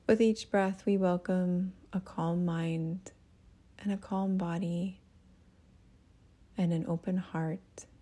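Slow, mellow woman guiding meditation. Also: lowish voice, fairly even intonation. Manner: gentle, soothing, breathy phrase endings. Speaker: meditation teacher. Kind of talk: guided meditation.

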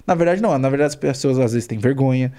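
A man's voice: medium pitch, fast, warm tone. A man with a Brazilian accent chatting casually as a podcast guest, explaining things informally with slang and repeating points for emphasis.

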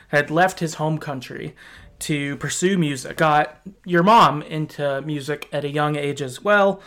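A man's voice: fairly high pitch, a bit bright. A man hosting a podcast, recapping a story in a casual, rambling way. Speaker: podcast host.

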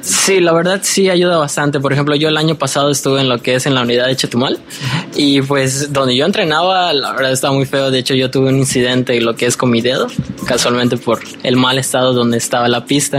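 Man, early twenties, Mexican accent, casual interview guest, medium pitch, music in the background. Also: steady tone, fairly quick, a bit bright. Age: early 20s. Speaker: student athlete, interview guest.

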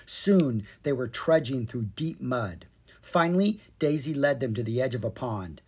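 A storyteller reading a children's storybook aloud in an expressive, animated way. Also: medium pitch, unhurried, slightly rough. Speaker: storyteller, podcast reader. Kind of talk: scripted narration of a children's storybook.

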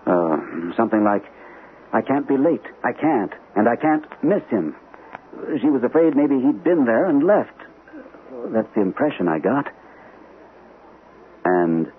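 An actor playing an earnest, troubled shell-shocked army captain in a radio mystery, speaking hesitantly and as if haunted. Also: male voice, medium pitch, big pitch swings, unhurried, pauses often. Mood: uneasy. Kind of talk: old-time radio mystery acting. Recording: tinny band-limited vintage radio, faint hiss.

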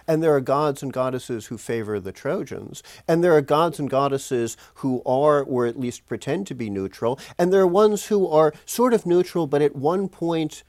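A man's voice: on the high side, moderate pace, few pauses, a little mellow, dry recording, studio quality. A man speaking as an erudite guest scholar in an interview, explaining things with careful qualifications and self-corrections.

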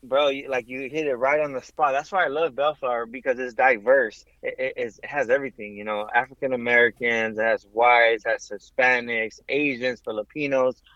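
A man in his thirties from Southern California, a podcast guest telling his faith story in a halting way, with many ums and restarts. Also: mid-range voice, fairly even intonation, pushed and bright, no room sound, lo-fi sound. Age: 30s. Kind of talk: casual faith testimony.

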